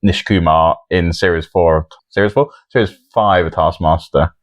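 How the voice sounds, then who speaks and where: A man's voice: low-pitched, fairly even intonation, moderate pace, no room sound, studio quality. A man hosting a podcast in a casual, chatty style. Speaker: podcast host. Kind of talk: casual chat.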